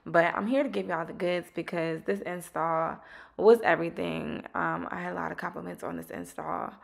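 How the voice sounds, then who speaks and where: A young woman with a raspy voice, chatting casually through a hair tutorial at home, with lots of ums. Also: on the low side, moderate pace. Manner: relaxed, chatty, peppered with ums. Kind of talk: casual how-to narration. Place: home bathroom, indoors.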